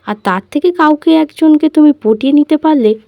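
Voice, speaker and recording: A woman's voice: high-pitched, moderate pace, no room sound, muffled recording, voice pushed up. A woman voicing a possessive, bad-tempered rich young fiancée with a pushy manner in an audio love story. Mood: condescending. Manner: pushy, needling questions.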